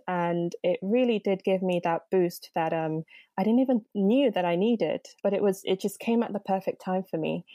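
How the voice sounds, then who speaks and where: A woman's voice: mid-range voice, warm tone, no echo, clean studio sound. A young woman from Nigeria, a podcast guest, telling her story in a chatty way with many fillers. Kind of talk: interview conversation.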